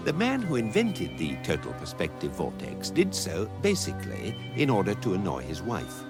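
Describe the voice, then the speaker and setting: An actor playing a dry, urbane guidebook narrator with an English accent, telling comic science fiction in a measured deadpan. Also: male voice, on the low side, gravelly, over music, heavily acted. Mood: wry, deadpan.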